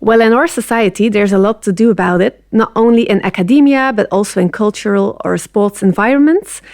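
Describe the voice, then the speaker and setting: A woman speaks in a steady, composed way, as if giving a prepared statement. The sound is dry and close, with no room echo. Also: mid-range voice, a little fast. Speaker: program representative.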